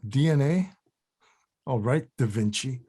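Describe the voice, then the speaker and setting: A male actor plays a defensive, profane older man in a comedy read. The voice is husky and very animated in pitch, grumbling and blunt in a natural way. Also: a little slow. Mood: uneasy. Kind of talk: table read of a comedy script.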